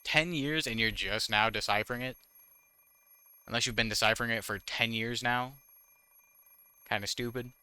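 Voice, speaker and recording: Bright-voiced man reading a game aloud. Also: mid-range voice, fairly even intonation, normal speed, pauses often, clear, resonant tone, dry recording. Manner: stumbling over words when reading. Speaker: gaming YouTuber, host. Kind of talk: reading visual novel text aloud with casual commentary.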